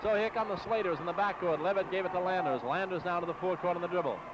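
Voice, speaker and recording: A man's voice: high voice, racing, lo-fi sound, background noise. An American man calling live basketball play-by-play as a radio announcer in clipped, running phrases on a 1960s AM broadcast. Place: courtside in a large exposition hall.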